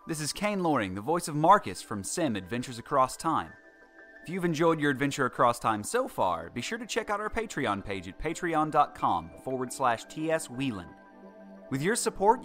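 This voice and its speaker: A man delivers a scripted promo as a voice actor. His voice is slightly high and very animated in pitch, over faint music. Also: fairly quick. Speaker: voice actor giving a promotional message.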